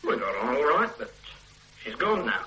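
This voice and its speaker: An actor playing a blunt, unsentimental ex-soldier son in a radio drama, offhand and dismissive. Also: male voice, medium pitch, sing-song, moderate pace, sharp tone, rough recording. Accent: Northern English. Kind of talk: 1970s radio drama acting.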